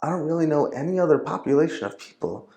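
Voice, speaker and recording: A man's voice: a little high, expressive, fast, vocal fry, warm tone. A man speaking as a guest in a casual conversation, rambling and leaning heavily on fillers and restarts. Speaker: podcast guest. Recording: roomy echo.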